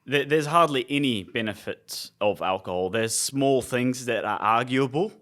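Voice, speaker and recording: A man's voice: mid-range voice, normal speed, fairly bright tone. A young adult man from Iraq co-hosting a podcast, explaining things conversationally with frequent fillers. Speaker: podcast co-host, nutrition coach. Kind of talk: casual podcast chat.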